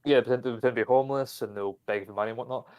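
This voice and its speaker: A man's voice: medium pitch, rapid-fire, muffled recording. A young man with a Scottish accent, a university student chatting on a live stream, ranting and swearing as he tells stories. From Scotland. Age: young adult. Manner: ranting, profane, animated storytelling. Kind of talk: casual live-stream chat.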